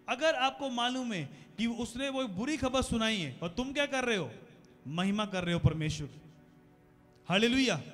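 High-voiced preacher in a reverberant hall. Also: male voice. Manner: preaching with questions to the congregation, growing louder toward the close. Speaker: pastor. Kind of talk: sermon. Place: large decorated church hall, indoors. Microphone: handheld mic. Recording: long hall reverb with faint music.

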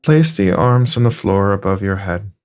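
A man leading a yoga nidra session, giving soft, calm, unhurried instructions with long pauses. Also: sits low. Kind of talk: slow guided meditation.

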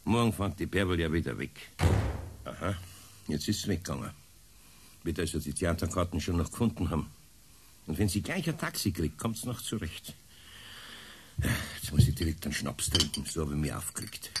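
An actor playing a grumpy but kindly older uncle, a carpenter, with a husky voice and a Bavarian accent. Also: male voice, deep voice, expressive, fairly quick, pauses often, noisy background. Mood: grumbling. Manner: grumbling, homely. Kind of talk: radio play dialogue.

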